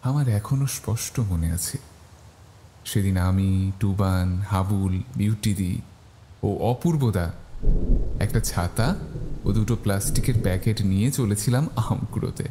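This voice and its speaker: Mellow male storyteller. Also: fairly low pitch, a little slow, slight background noise. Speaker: narrator. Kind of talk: scripted first-person horror story narration. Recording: faint music behind the voice.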